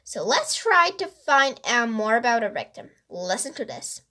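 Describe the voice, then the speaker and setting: A woman's voice: fairly high pitch, big pitch swings, normal speed, sharp tone. A woman voicing a bossy, inquisitive young girl detective in a children's storybook, emphatic and questioning. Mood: eager.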